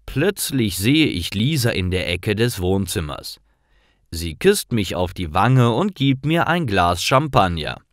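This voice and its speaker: A man reads learner stories as a scripted narration. His voice is low and lively in intonation, with very slow, deliberate pacing. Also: no room sound, clean studio sound.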